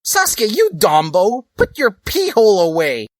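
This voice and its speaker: A man's voice: high voice, wildly swooping pitch, a little slow, no echo, clean studio sound, voice pushed up, exaggerated voice. A man voicing a bossy, scornful teenage girl who leads the group, in a mocking reading of a comedic story. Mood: mocking shrill.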